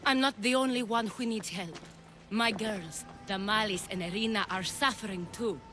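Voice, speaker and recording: A poised and shrewd courtesan leader in an ancient Greek game world, voiced by a woman. She speaks at a middling pitch in measured, formal phrases with total self-assurance. Music plays faintly underneath. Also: bright, forceful tone, close to an everyday voice. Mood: determined. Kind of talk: video game cutscene acting. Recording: music behind the dialogue.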